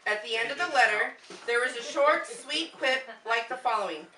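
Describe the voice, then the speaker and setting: High-voiced American woman storytelling, echoey and distant. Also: moderate pace, bright, forceful tone. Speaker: puppet show narrator at a family reunion. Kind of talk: scripted storytelling, read aloud to relatives. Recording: echoey, noisy room recorded from far off.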